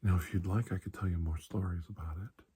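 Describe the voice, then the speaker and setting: A man speaking in a soft, breathy ASMR roleplay as a calm, kindly doctor, gentle and reassuring with unhurried pauses. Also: deep voice. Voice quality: breathy, hushed. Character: calm, kindly male doctor soothing a frightened patient. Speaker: ASMR performer playing a doctor.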